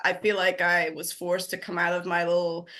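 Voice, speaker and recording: A woman's voice: lowish voice, monotone, normal speed, pushed and bright. A woman in her forties from Guyana, a nurse practitioner telling her story as an interview guest, with many fillers and easy laughs. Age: 40s. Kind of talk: interview conversation.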